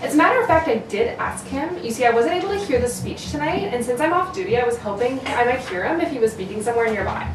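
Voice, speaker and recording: Woman as a halting, high-voiced hotel clerk. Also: expressive, close to an everyday voice. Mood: hesitant, apologetic. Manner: halting, says "like" often. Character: hesitant, rambling young hotel clerk. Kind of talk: amateur recreation of 1940s radio drama. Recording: distant echoey room, noisy.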